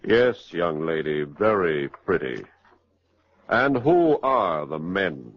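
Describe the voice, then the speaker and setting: A man's voice: lowish voice, no echo. A man with an American accent, narrating a 1940s radio crime drama in a grave, emphatic, melodramatic manner. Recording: muffled vintage 1940s radio broadcast.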